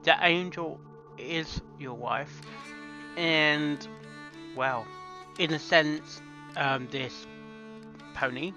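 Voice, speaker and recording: A man giving casual, halting reaction commentary in short interjections. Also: fairly high pitch, music in the background. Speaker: reactor commenting on a fan fiction reading.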